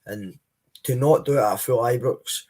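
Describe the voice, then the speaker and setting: A man's voice: mid-range voice, normal speed, dark tone, husky. A man with a Scottish accent giving blunt, frank opinions as a guest on a casual football podcast. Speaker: podcast panelist.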